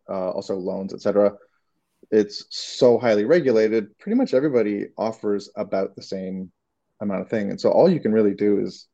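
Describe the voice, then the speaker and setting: A man's voice: fairly low pitch, gravelly, warm tone, highs cut off. A man from Canada with a Canadian accent, answering interview questions casually and hesitantly, with many restarts. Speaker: creative director, guest.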